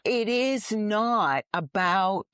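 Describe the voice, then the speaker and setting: A woman co-hosts a talk podcast and speaks slowly and deliberately, with a pitch that rises and falls a lot. The recording is dry and close, with no room sound. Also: expressive, a bit bright, clean tone, no room sound. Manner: unhurried, emphatic, philosophical. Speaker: podcast co-host. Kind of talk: reflective conversational chat.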